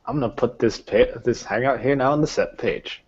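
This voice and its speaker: A man's voice: medium pitch, brisk, a little hiss or hum. A man narrating a tabletop roleplaying game as game master, speaking casually with the players. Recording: dull, lo-fi voice recording.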